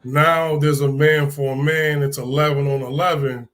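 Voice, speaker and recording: A man in his sixties from Hartford, Connecticut, telling stories as an interview guest in a relaxed, rambling way with many restarts. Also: a little high, moderate pace. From Hartford, Connecticut, USA. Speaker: guest, former football player. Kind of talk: casual interview storytelling.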